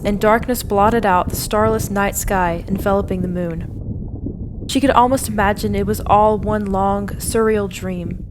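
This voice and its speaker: A woman giving scripted dramatic narration for an audio drama, telling the story in a measured, solemn way. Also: medium pitch, noisy background.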